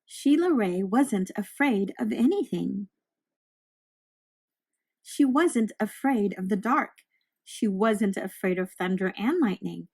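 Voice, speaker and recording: A woman reading a children's storybook aloud, narrating with deliberate, expressive phrasing for young listeners. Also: medium pitch, creaky, breathy edge.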